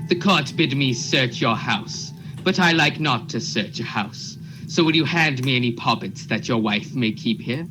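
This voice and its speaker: An earnest, officious Puritan lawman acted by a man in a radio drama. His mid-pitched voice is formal, rustic and insistent. Music plays behind him. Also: lively intonation, normal speed, few pauses, natural voice, rough recording. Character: Cheever, earnest, officious male court officer serving warrants. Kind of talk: radio play acting.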